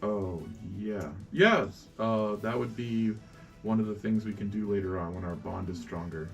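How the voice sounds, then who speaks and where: A man narrating as game master of a tabletop roleplaying game, explaining things in a casual, halting way. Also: lowish voice, gravelly, muffled recording, faint music behind.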